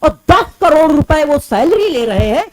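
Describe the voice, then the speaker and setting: A woman educator speaks to her students in a high, lively voice that swoops up and down. She hammers her points home by repeating the same figures over and over. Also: moderate pace. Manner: emphatic, repeats figures for stress. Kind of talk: impassioned commentary to camera.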